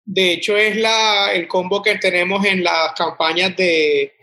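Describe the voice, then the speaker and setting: A Colombian man in his twenties speaks casually about his restaurant, circling back on himself with plenty of restarts. His voice sits fairly high and sounds bright and forward. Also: steady tone, a little slow. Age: 20s. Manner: rambling, many restarts and "o sea". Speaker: restaurant owner. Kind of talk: casual interview answers.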